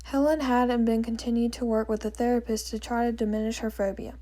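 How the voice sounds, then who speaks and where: A woman narrating a scripted story, reading it aloud in a plain, measured way. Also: on the high side, little pitch movement, heavy background noise.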